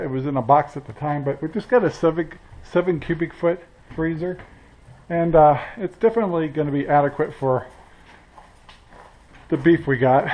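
A man's voice: on the high side, a little mellow, low-quality recording. Chatty older man vlogging outdoors. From Central Oregon, USA. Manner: chatty, rambling, with many fillers and chuckles. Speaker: homestead vlogger. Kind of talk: casual vlog talk to camera. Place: outdoors on a rural property and in a garage. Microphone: handheld camera at arm's length.

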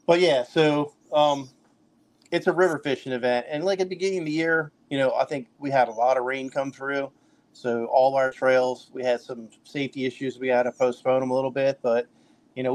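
A middle-aged man talks in a loose, rambling way and keeps restarting his sentences. His pitch stays fairly level and flat throughout. It is relaxed, casual podcast guest talk. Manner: loose, filler-heavy talk with many restarts. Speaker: kayak fishing trail director.

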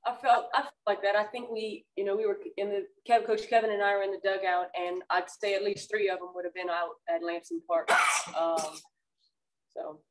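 A woman softball coach answering press questions at a table, speaking plainly and repeating words as she thinks. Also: mid-range voice, steady tone, a little fast, distant mic, poor audio. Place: press room, seated at a table.

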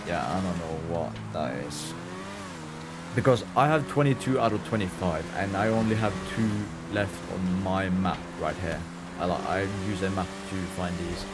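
Male game streamer, Norwegian accent, lowish voice, loose casual chat with some swearing, over clear vehicle noise. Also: normal speed. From Norway. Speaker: live streamer. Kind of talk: casual gaming livestream commentary, chatting with viewers. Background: game ambience with vehicle sounds.

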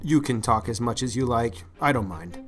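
An actor playing a shy, gentle older farmer in an audiobook, hesitant and mild. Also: male voice, medium pitch, animated, moderate pace, faint music behind, close to an everyday voice. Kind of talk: audiobook character acting.

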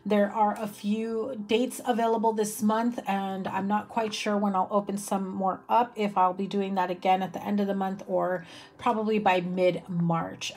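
A young woman reads tarot cards at a table in a small indoor room, her voice slightly hoarse from a cough. She talks in a chatty, reassuring way, with plenty of fillers. Her pitch sits in the middle of the usual range for a woman. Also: darkish tone. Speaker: tarot reader. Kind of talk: casual tarot reading to camera.

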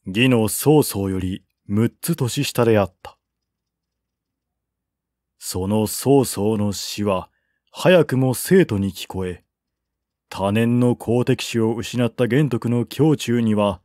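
Male narrator for literary historical fiction: unhurried, formal, mid-pitched, close dry recording. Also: darkish tone, no echo. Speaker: audiobook narrator.

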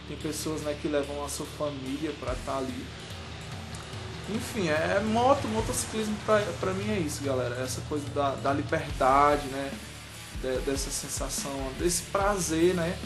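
A man, talking casually to camera as a vlogger, in a halting way full of verbal tags. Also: fairly high pitch. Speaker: motorcycle vlogger. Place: small room at home, indoors. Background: music.

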